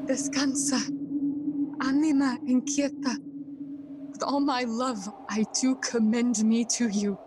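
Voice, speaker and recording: A troubled young prince in a Shakespearean audio play. The voice is high and unhurried, delivering verse with earnest, declamatory weight. Clear ambient noise sits behind the words. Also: female voice, flat delivery. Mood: tender, earnest. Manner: deliberate, declamatory, solemn. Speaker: stage actor in audio play.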